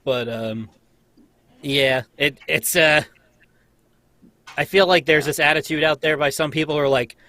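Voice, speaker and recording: A man's voice: a little high, fairly even intonation, a little slow, long pauses, sharp tone, no room sound. An American man who teaches college, chatting casually in a group conversation.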